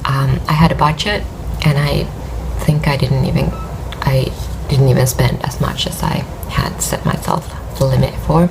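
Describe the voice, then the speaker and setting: A woman's voice: very deep voice, steady tone, moderate pace, gravelly, noisy background. A tired woman from Finland with a Finnish accent and a worn voice, hosting a knitting podcast and chatting hesitantly with many fillers. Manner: hesitant, rambling with many fillers and soft laughs. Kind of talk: casual vlog chat.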